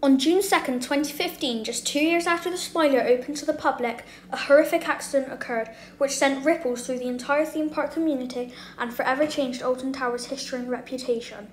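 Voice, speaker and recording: A woman's voice: sits high, brisk, hardly any pauses. A woman reading a scripted documentary narration as the narrator of a video. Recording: roomy echo, faint background noise.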